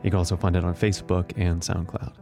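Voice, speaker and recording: A man's voice: low-pitched, little pitch movement, fast talker, music in the background. An American man hosting a radio show in a scripted narration style.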